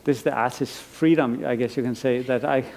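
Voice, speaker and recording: A man's voice: medium pitch, fairly even intonation, fairly quick, vocal fry, darkish tone. A middle-aged man from Iceland giving a conference lecture as an artist, thoughtful and rambling, with frequent restarts and fillers. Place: lecture stage.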